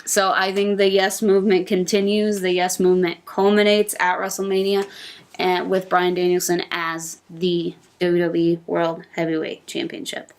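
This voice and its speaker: A woman co-hosting a casual wrestling chat show from a couch, gushing and excitable as she talks. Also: fairly low pitch. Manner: excitable, gushing, fast bursts. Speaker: podcast co-host. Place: indoors on a couch.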